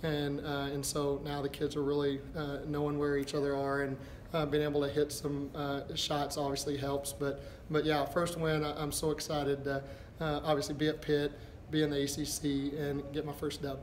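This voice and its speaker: A middle-aged man, a basketball head coach, answering postgame press questions in a halting, thinking-aloud way. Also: on the high side, completely flat delivery. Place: indoors before a backdrop, a step from camera. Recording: echoey room with background noise.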